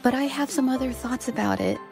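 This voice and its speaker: A woman's voice: high-pitched, fairly quick, vocal fry, over music. A woman voicing a shy, earnest, self-doubting young woman painter in a video game, hesitant and apologetic. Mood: wistful, sincere. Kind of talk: dramatic game voice acting.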